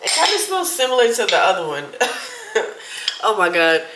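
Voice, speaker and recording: A woman's voice: mid-range voice, very animated, normal speed, a bit bright, echoey room, a little hiss or hum. A woman of about thirty with a stuffy nose, chatting casually to viewers about a shopping haul in her apartment. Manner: chatty, addresses viewers as y'all. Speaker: vlogger.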